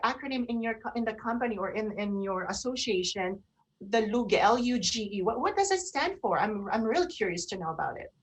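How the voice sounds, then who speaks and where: Lady radio cohost, voice a bit high, casual chat, restarts her questions. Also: animated, brisk, breathy edge, poor audio. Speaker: radio show co-host.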